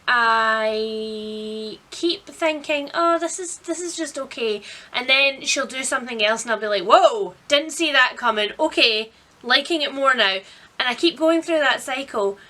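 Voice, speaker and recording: A woman vlogging at home in a casual, chatty, expressive and self-deprecating way about her reading. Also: sits high. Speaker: vlog host. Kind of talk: casual vlog chat about a reading marathon. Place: indoors at home.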